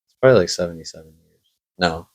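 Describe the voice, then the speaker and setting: A man's voice: deep voice, rapid-fire. A man chatting casually about superhero movies, rambling with lots of fillers. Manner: rambling, hesitant with many fillers. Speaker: podcast host.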